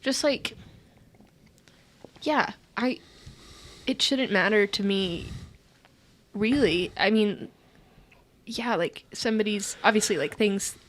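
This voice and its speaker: A woman in her twenties with a soft, breathy voice, a podcast guest who talks hesitantly and searches for her words in casual chat. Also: medium pitch, takes it slowly, pauses often. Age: 20s. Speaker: podcast guest, stage performer.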